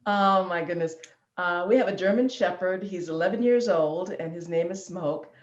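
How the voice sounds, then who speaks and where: Low-pitched woman in her 50s, chatty interview guest, roomy muffled sound. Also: normal speed. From Illinois, USA. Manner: warm chatty, laughing easily, filler ums. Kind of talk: online interview.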